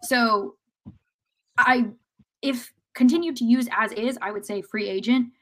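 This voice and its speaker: Opinionated woman with a higher-pitched voice. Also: measured pace. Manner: opinionated, explanatory. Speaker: podcast panelist. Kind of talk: casual sports analysis.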